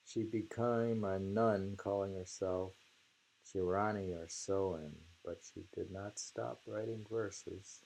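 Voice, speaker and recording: An older man with a low, husky voice reads haiku and commentary at an unhurried pace. He is halting and informal, pausing to murmur to himself as he goes. His tone is dark and mellow. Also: steady tone, rough recording. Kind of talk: reading poems and literary criticism aloud with informal asides.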